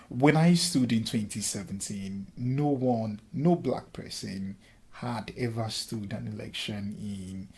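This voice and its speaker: Give me an earnest Nigerian man in his thirties, with a slightly husky voice. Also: mid-range voice, darkish tone. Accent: Nigerian with a light Scottish touch. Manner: earnest, many ums and restarts. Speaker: interviewee, lecturer and former councillor. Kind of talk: reflective interview answers.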